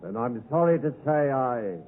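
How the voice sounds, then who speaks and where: An actor playing a desperate, dogged escaped convict, rough and emphatic, in 1950s radio drama style. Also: male voice, medium pitch, animated, moderate pace, lightly acted. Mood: grave, contrite. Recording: tinny, noisy 1950s radio broadcast.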